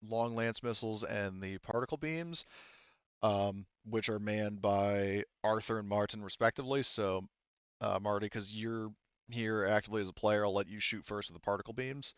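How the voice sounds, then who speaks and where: A man's voice: on the low side, fairly even intonation, moderate pace, smooth, dry recording, highs cut off. A man acting as game master in a tabletop roleplaying game, talking informally and thinking aloud with casual swearing. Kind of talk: running an online tabletop roleplaying game, rules talk.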